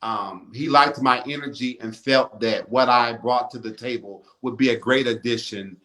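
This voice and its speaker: A man in his forties with a strong baritone voice, a radio host speaking as an interview guest in an emphatic, animated way. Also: normal speed, rough recording. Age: 40s. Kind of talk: casual interview conversation.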